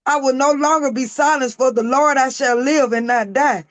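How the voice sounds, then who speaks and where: A woman with a raspy, hoarse voice, a guest author reading her own poems aloud in a slow, emphatic spoken-word style. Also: high voice, no room sound.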